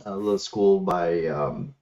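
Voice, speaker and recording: Filipino guy, ilocano native, lowish voice, chatting as a guest on a headset, kinda dull lo-fi audio. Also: unhurried, darkish tone. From Philippines. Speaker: guest, martial arts instructor. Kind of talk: casual interview answers, recounting his life. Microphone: headset on an online call. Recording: slightly muffled lo-fi headset call.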